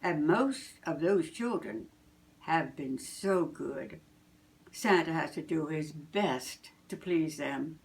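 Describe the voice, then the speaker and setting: An older woman, a grandmother, reading a children's storybook aloud in a deliberate, expressive way. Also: low voice, creaky.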